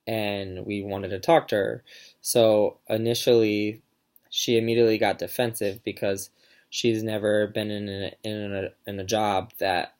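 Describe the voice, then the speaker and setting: A man's voice: lowish voice, a little mellow. A young man giving a casual class presentation, talking in a hesitant way with many fillers and restarts. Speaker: student presenter.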